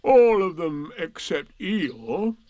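Guy putting on a glum old donkey, super slow mournful drawl, voice swoops up and down. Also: sits high, very animated, warm tone, dry recording, pitched above the performer's own voice, heavily acted. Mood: gloomy. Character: gloomy old male donkey, glum and self-pitying. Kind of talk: storybook reading.

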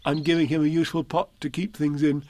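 A man's voice: fairly high pitch, little pitch movement, fast talker, voice pushed up, lightly acted. Man doing an earnest, simple-hearted bear. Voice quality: earnest, soft-spoken. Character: gentle simple-minded male bear, earnest. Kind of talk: storybook read-aloud.

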